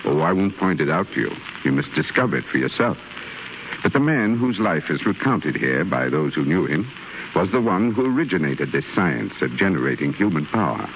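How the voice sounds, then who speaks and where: A man playing an older male stonecutter who talks to a younger man in a gentle, fatherly, measured way. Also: a bass voice, very animated, full character voice. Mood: earnest. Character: older male stonecutter, kindly earnest mentor. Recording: tinny noisy 1930s radio broadcast.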